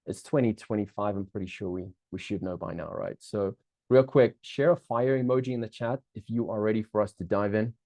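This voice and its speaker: A man's voice: fairly low pitch, brisk, dark tone, no echo. A middle-aged man with a South African accent, hosting a live online coaching masterclass in an upbeat, chatty way, often restarting phrases and checking in with his audience.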